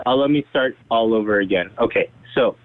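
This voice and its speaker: A young man presenting himself to a group on a conference call. He talks quickly and chattily and keeps checking in with "right?" and "okay?". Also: on the low side, dry recording. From Saudi Arabia. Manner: fast, chatty, uses "right?" and "okay?" often. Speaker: guest presenter. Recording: tinny phone line.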